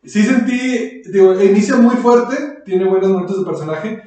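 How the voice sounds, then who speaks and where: A man's voice: high voice, moderate pace, mellow. A man with a Mexican accent chatting casually as a podcast co-host, rambling and hesitant, with slang. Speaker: podcast co-host reviewing an audio drama. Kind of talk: casual chat. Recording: very echoey, distant from mic.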